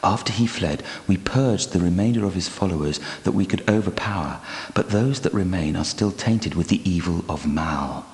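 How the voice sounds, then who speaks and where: An actor playing a proud, sorrowful, devout guardian knight in a video game, with grave delivery and formal, archaic diction. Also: male voice, sits low, moderate pace, a little mellow. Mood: stern, bitter. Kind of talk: dramatic video game character acting. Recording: long cavernous hall reverb.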